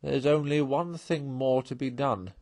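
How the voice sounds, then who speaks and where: A man's voice: mid-range voice, fairly even intonation, a little slow, a little mellow, dry recording, voice pushed up, natural voice. A stern and earnest storybook badger, as a male reader puts him on. He speaks firmly and solemnly, like an authority among friends, in a close, dry recording. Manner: solemn, firm, moralizing.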